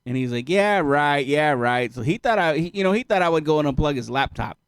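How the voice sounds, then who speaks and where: A man with a fairly high voice trades jokes and profanity in laid-back street slang. The sound is dry and close, with no room around him. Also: lively intonation, brisk, clean tone, dry recording. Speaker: DJ co-host. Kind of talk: casual live-stream chat.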